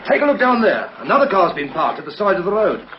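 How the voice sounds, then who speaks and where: An actor playing a keen, observant police constable in a radio drama. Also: male voice, high-pitched, very animated, fast talker. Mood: alert. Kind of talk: vintage radio drama acting. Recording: dull old recording, faint noise.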